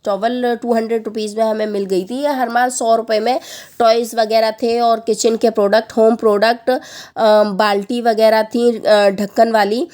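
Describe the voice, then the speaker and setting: A woman in her thirties with a sore, husky throat, chattily narrating a family outing as a vlog voice-over and pausing often as she lists prices. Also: fairly high pitch, little pitch movement, a little mellow. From Lucknow, India. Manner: chatty, lists prices with frequent hesitant pauses. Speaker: family vlogger.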